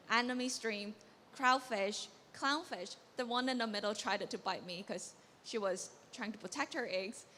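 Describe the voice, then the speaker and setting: A young woman from Hong Kong gives a conference talk on stage, holding a mic, her voice a little higher than average. She explains with eager enthusiasm. The sound carries a long hall reverb over a faint background hiss. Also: fairly bright tone. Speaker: coral biologist presenter. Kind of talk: conference presentation. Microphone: handheld mic.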